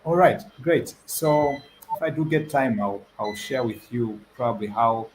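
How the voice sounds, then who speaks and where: A man's voice: mid-range voice, a little slow, slight background noise, rough recording. A young man from Zambia answering as a guest on a panel, hesitant, repeating words and using many fillers. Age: young adult.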